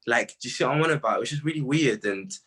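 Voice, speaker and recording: Husky, bright-voiced young man chatting casually. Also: mid-range voice, racing. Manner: animated slangy storytelling, quoting own reactions. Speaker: interview guest, music artist. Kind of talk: casual chat.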